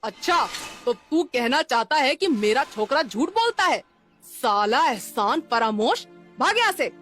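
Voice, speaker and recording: Woman putting on an angry, forceful slum bully. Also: high-pitched, sing-song, unhurried, soft background music, exaggerated voice. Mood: furious, threatening. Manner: forceful, abusive street slang. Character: angry, bullying slum man, rough and threatening.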